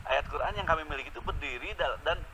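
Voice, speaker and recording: A man's voice: fairly high pitch, fast, sharp tone. A man speaking in an informal religious debate, explaining patiently with many repeated tag words. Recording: clear background noise, amateur online audio.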